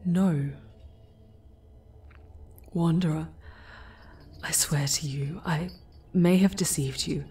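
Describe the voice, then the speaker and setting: A woman voicing an ancient female demon, proud but remorseful, in a dramatic ASMR roleplay with hushed, halting delivery. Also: low-pitched, steady tone, far from the mic. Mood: remorseful, pleading.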